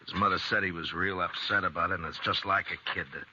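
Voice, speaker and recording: An actor playing a steady, compassionate male frontier marshal in a 1950s radio western. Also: low voice, quick pace, close to an everyday voice. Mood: wary. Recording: dull, lightly noisy 1950s radio recording.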